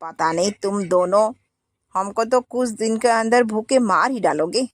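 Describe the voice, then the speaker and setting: A woman's voice: mid-range voice, measured pace, no room sound, studio quality, lightly acted. A woman voicing a stern older mother-in-law who scolds the household firmly, in a storybook tale. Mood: scolding. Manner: scolding, firm.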